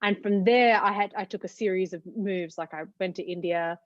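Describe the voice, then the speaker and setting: A woman's voice: mid-range voice, fairly even intonation, moderate pace, no echo, dull audio. A woman in her thirties with an Australian accent, answering interview questions reflectively with many fillers and restarts. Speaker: guest.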